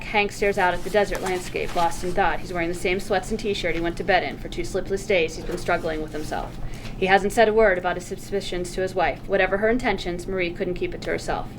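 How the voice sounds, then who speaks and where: A woman with an American accent reading a script's stage directions aloud at a table read, in a noisy room. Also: lowish voice. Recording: noisy room, ambient hum.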